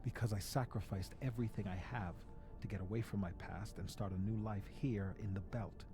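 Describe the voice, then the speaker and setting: Actor as a low-voiced, remorseful ship's medic. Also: male voice, fairly even intonation, fairly quick, over music, natural voice. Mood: earnest, subdued. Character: remorseful, earnest male military deserter, ship's medic. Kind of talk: dramatic video game acting.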